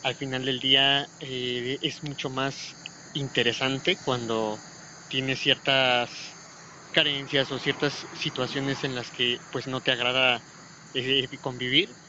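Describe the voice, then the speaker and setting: A man's voice: medium pitch, flat delivery, slow pace, pushed and bright. A man hosting a casual interview chat, putting questions to guests and sharing his own thoughts. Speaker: host. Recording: dull lo-fi audio, faint noise, insects.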